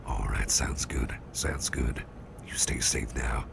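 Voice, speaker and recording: A man voicing a courteous, attentive businessman boss in soft, breathy ASMR roleplay, speaking gently and politely and repeating his phrases. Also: slow pace, background noise, full character voice. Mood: polite, reassuring. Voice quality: very deep, gravelly, breathy near-whisper.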